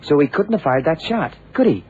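An actor playing an eager, deferential police sidekick in an old radio drama, earnest and a little hesitant. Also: male voice, fairly high pitch, big pitch swings, brisk. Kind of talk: 1960s-70s radio drama acting. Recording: muffled, noisy old radio recording.

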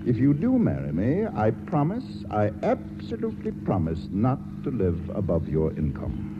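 Suave, lively old-radio charmer. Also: male voice, on the low side, normal speed. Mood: suave, teasing. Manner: glib, urbane, drily witty. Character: suave, self-satisfied, mercenary male writer and charmer. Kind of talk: 1940s radio play acting. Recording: noisy, tinny old radio broadcast.

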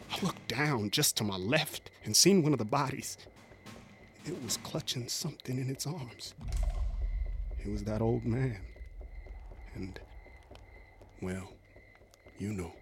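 An actor playing a haunted, rough-spoken US Cavalry veteran, telling his story in a folksy, halting way in a radio drama. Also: medium pitch, fairly bright tone, slight background noise. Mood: haunted, grieving. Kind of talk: dramatic first-person monologue, radio drama.

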